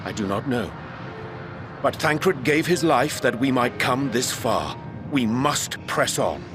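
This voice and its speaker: A man's voice: mid-range voice, lively intonation, slow pace, music in the background. A man playing a grave, formal adventurer with archaic speech in a video game cutscene. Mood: resolute.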